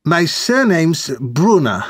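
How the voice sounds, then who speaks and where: A man from Switzerland answers exam questions as a student, slowly and with slight hesitations. His pitch moves in a lively way, and the recording is dry. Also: slightly rough, no echo. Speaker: exam candidate.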